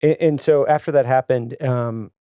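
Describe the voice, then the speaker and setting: A man hosting a podcast, asking casual interview questions haltingly and rephrasing as he goes. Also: mid-range voice, steady tone, mellow, clear, resonant tone, no echo.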